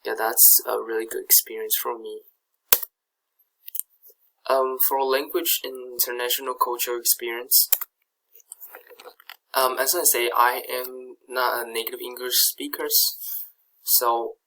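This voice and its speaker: A man's voice: mid-range voice, flat delivery, a little slow, pauses often, bright, forceful tone, slight background noise, rough recording. A young man from Taiwan, a native Chinese speaker with a Taiwanese accent, giving a slide presentation in a hesitant way, with many fillers and restarts. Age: young adult. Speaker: student presenter.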